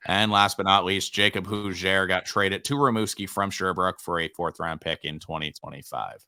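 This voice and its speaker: Low, bright-voiced young man. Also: fairly even intonation, moderate pace, clean tone, no room sound, clean studio sound. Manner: easygoing, playful teasing. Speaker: podcast co-host. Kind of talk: casual sports podcast chat.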